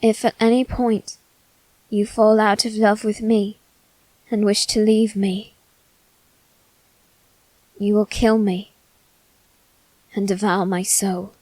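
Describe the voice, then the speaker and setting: Woman voicing a slow, halting, possessive young boy aristocrat. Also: medium pitch, level tone, darkish tone, dry recording, low-quality recording. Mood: solemn, devoted. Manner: slow, halting, measured delivery with long pauses. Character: wounded, possessive teenage boy aristocrat bound by demon contract. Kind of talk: ASMR character roleplay, scripted drama.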